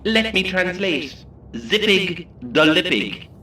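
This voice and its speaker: Showy high-voiced Irish tour guide. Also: male voice, big pitch swings, slow pace, bright, forceful tone, full character voice. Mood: cheeky, bossy. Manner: showy, gleefully insulting, slangy patter. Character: theatrical, cheeky, foul-mouthed male ghost-tour coach guide. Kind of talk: audio drama acting. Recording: echoey on-board PA, slightly distant, faint noise.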